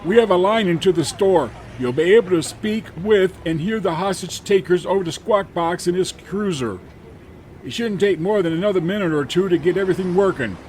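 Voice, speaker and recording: A man as a high-voiced, brisk police technician. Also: a little mellow, lightly acted. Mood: businesslike. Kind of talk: audio drama acting. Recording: faint outdoor street and vehicle noise.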